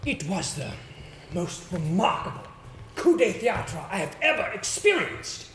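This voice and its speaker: Guy acting polite jittery gentleman in a stage play, voice swings around, roomy echo. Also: sits high, very animated, measured pace, noisy background, heavily acted. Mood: awed, effusive. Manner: formal, theatrical, hesitant phrasing. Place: stage in a theatre.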